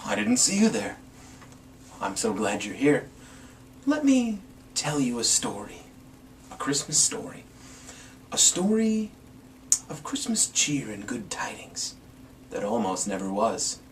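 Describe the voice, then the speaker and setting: A man giving a playful, theatrical dramatic reading of a rhyming Christmas story as the storyteller. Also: medium pitch. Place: room with a green screen. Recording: distant mic, some background noise.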